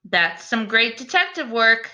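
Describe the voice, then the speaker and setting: A woman teacher reads aloud to children. Her voice is fairly high and bright, with very animated intonation. She sounds encouraging and speaks directly to young listeners. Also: a little slow. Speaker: teacher reading a picture book aloud. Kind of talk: expressive children's read-aloud with questions.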